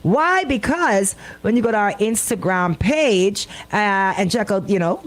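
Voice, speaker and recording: Lively Bahamian woman hosting radio. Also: medium pitch, unhurried. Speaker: radio host. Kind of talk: casual on-air radio banter. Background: music bed at times.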